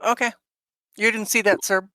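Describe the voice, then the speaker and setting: A woman's voice: mid-range voice, fairly even intonation, normal speed, bright, forceful tone, no room sound. A woman who plays at a tabletop game and thinks aloud, hesitating as she weighs her moves. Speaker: player.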